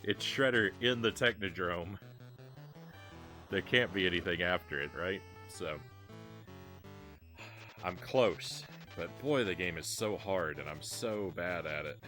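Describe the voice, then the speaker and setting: A man with a low voice talks to his live-stream audience between retro games. He is rambling and self-deprecating, restarting his sentences often. Faint music plays underneath. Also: takes it slowly, long pauses, pushed and bright. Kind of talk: casual live-stream chat while gaming.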